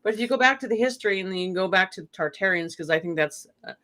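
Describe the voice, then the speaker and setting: A woman's voice: medium pitch, lively intonation, very fast, fairly bright tone. A woman talking casually as an interview guest, telling stories in a rambling way with frequent "you know" asides. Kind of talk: casual conversational storytelling.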